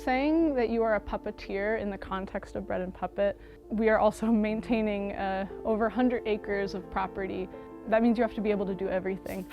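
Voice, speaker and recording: A woman's voice: medium pitch, steady tone, brisk, lo-fi sound, music in the background. A woman, explaining things in an interview as a member of a theater.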